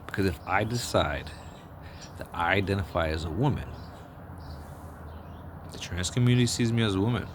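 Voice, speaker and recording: Man vlogger, very low bass voice, blunt and profane, rambles and restarts, street traffic noise behind. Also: normal speed, long pauses. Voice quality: deep bass voice. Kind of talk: casual opinion monologue. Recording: outdoor street noise with passing vehicles.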